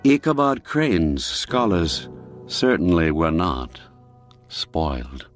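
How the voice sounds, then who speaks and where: A low-voiced man reads a literary tale as a radio narrator. His delivery is slow and deliberate, with expressive swings in pitch and drawn-out emphasis on chosen words. Music plays behind him. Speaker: storyteller, narrator. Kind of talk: expressive literary story reading for radio drama.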